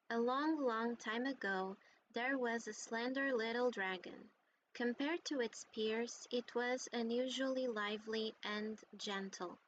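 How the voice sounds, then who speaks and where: A woman playing a gentle storyteller, reading a fairy tale with soft, measured delivery in a video game. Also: fairly high pitch, slight background noise, rough recording.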